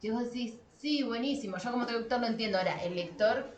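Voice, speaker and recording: A woman's voice: on the high side, fast, slight background noise. A woman with an Argentine Spanish accent, co-hosting a podcast in casual, conversational discussion. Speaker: podcast co-host. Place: small cramped room below a staircase. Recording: roomy echo of a small room.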